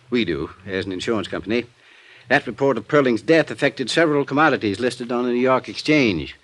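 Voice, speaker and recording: An actor as a guarded, courteous company executive. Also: male voice, medium pitch, lightly acted. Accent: American. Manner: measured, polite, hesitant when confiding. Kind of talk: 1940s-50s radio acting.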